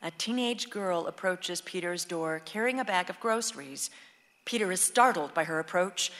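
A woman's voice: fairly low pitch, lively intonation, normal speed, a bit bright. Woman narrator in a reverberant hall. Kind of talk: scripted stage-direction narration.

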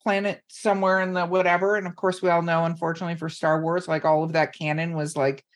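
A woman's voice: lowish voice, flat delivery, quick pace. A woman hosting a casual interview, chatting in an enthusiastic, blunt way. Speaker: podcast host interviewing an author. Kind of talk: casual chat.

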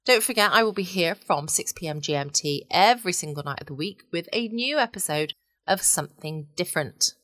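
A woman's voice: on the low side, expressive, fairly quick, bright, forceful tone, no room sound. A woman with an English accent presenting a late-night podcast in a chatty way, recorded at home. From United Kingdom. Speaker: podcast host. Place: at home, close dry sound.

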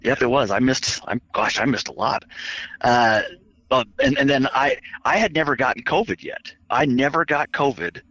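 A man's voice: medium pitch, sing-song, normal speed, sharp tone, no echo. A man with a scratchy voice, telling stories with self-mocking humor in a casual chat. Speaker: guest. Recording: dull lo-fi audio.

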